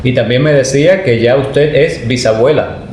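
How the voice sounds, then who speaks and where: A man's voice: medium pitch, moderate pace, darkish tone. Male interviewer in an echoey room. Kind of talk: polite, formal interview questions. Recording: echoey room, faint background noise.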